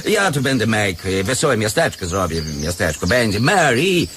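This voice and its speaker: Halting, swooping Americanised Polish émigré. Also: male voice, lowish voice, big pitch swings, a bit bright, slight background noise. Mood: dismissive, blunt. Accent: Americanised Polish, peppered with English. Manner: halting, searching for words, blunt. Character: brash Polish émigré back from America, property claimant. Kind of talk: radio comedy play acting.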